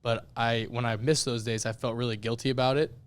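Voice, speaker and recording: Raspy-voiced man, smooth, even delivery. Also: medium pitch, fairly quick. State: voice worn out from yelling. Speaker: podcast co-host. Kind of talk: casual podcast chat.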